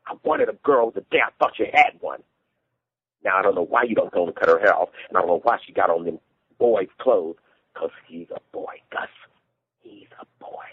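A man's voice: low-pitched, sing-song, a little fast, slightly rough, narrow, tinny audio, exaggerated voice. A man voicing a distraught Southern wife and mother who pleads and whines in rural dialect. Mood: insistent.

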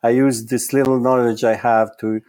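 A man from Italy speaks, with Italian as his first language. His voice sits at a middling pitch for a man. He gives careful, measured interview answers and often stalls on repeated words before carrying on. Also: flat delivery, darkish tone. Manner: halting, with many repeated words and restarts. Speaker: psychoanalyst guest.

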